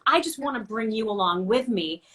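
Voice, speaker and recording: A woman broadcaster hosting a casual interview, chatting and telling stories in an animated way. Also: a little high, moderate pace.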